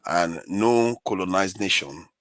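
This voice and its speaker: Male commentator, bright and slightly low voice, deliberate, insistent debating. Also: expressive, dry recording. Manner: deliberate point-by-point delivery, insistent. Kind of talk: argumentative debate rebuttal.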